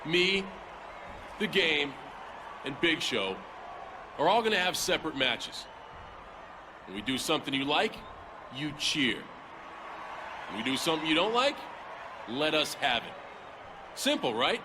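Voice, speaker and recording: Brief: swaggering game wrestler, slightly high voice, hum of arena ambience behind. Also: male voice, big pitch swings, unhurried, long pauses. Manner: cocky, playful trash talk. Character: brash male wrestling champion addressing the crowd. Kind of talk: video game cutscene dialogue.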